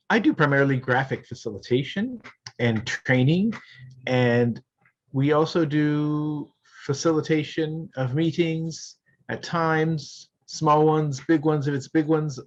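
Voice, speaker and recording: A man's voice: medium pitch, muffled recording. A man from Ohio, a graphic facilitator, introducing himself steadily and conversationally. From Cincinnati, Ohio, US. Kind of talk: casual self-introduction. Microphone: video call.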